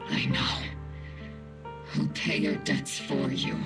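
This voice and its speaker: A woman's voice: slow pace, close to an everyday voice. A woman playing a grim, grieving military commander in a game cutscene, under music. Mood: grave, resolute. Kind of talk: dramatic game cutscene acting. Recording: loud music over the voice.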